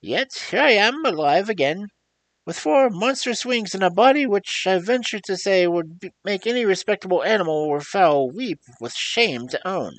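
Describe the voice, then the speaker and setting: A squeaky, gloomy winged creature. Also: male voice, animated, moderate pace, a bit bright, dry recording. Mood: bewildered. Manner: plaintive, wondering.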